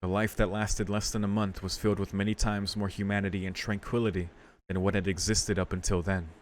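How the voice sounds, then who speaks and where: A man's voice: fairly low pitch, little pitch movement, brisk. A man doing a casual, reflective let's play of a visual novel, commenting and reading the text aloud. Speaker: streamer playing a visual novel. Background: game music.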